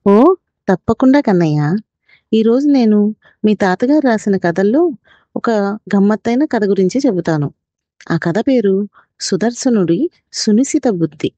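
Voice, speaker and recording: Mellow woman storyteller. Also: medium pitch, expressive, measured pace, pauses often, no echo, muffled recording. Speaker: storyteller mother telling a tale to a child. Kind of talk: expressive narrated children's moral story.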